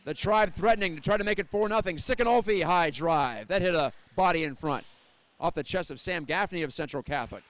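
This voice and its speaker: A man doing live audio play-by-play of an ice hockey game as the announcer, describing the action in a measured, detailed way that lifts with the play. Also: high voice, a bit bright. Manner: measured, descriptive, lifting on scoring chances. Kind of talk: live ice hockey radio-style commentary.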